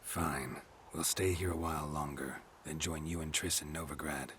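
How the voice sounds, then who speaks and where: An actor playing a stoic middle-aged monster hunter in a video game, gravelly and terse, with a deadpan, protective way of talking. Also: male voice, a bass voice, normal speed, slight background noise. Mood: terse, wary.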